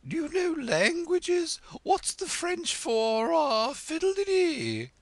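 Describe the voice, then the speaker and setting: High, loud, domineering storybook queen; slow, overbearing delivery. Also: huge pitch swings, no room sound, heavily acted. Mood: imperious. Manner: commanding, drawn-out emphatic words. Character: imperious proud queen, bossy and loud.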